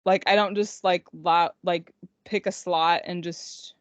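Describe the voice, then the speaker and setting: A woman's voice: fairly low pitch, steady tone, measured pace, dry recording. A woman podcast co-host chatting casually and unscripted, rambling informally with lots of "like", on slightly lo-fi audio. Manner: informal, rambling, heavy use of "like". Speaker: podcast co-host and indie author.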